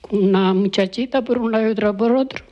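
An older woman with a Canarian Spanish accent, recalling village life in an unscripted, halting way as she searches for words. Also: on the high side, dull audio. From Canary Islands, Spain. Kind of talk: unscripted oral-history reminiscence.

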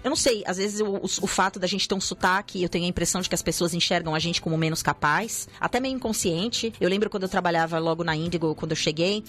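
A Brazilian woman co-hosting a radio talk show, telling stories in an animated way with acted-out quotes. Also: fairly low pitch, fast talker, few pauses. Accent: Brazilian Portuguese. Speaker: radio co-host. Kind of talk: casual radio chat.

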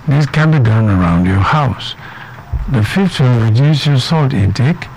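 A Nigerian man runs a radio health show from the studio, his voice carrying a gravelly rasp. He talks in a loose, chatty way, full of fillers and "isn't it?" checks, with a faint hiss of noise behind. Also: mid-range voice, expressive, unhurried, darkish tone, low-quality recording.

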